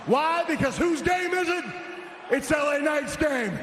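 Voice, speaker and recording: A man cutting a cocky wrestling promo in an arena, shouting his catchphrases. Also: very high-pitched, measured pace, slight background noise. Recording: echoey arena broadcast with crowd.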